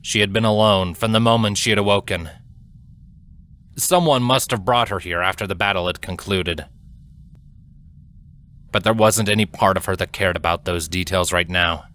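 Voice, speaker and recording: A man narrates dramatic fantasy fiction in a low voice with a bright, forceful edge. His reading is solemn and deliberate, shaping each sentence expressively like an audiobook performer. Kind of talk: scripted dramatic story narration.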